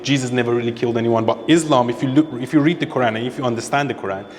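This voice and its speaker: A man's voice: mid-range voice, racing, big-room sound. A man from Iran, interviewed on a podcast, who speaks emphatically and repeats his points for stress. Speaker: interviewee, social media creator. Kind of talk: opinionated conversation.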